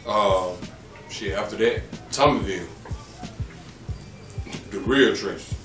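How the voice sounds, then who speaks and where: Deep voiced atlanta dude in his 30s rambling about the old neighborhoods, echoey room, mic kinda far. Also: slow, a bit bright. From Atlanta, Georgia. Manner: rambling, profane, joking. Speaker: podcast guest. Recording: echoey room, distant mic, music behind.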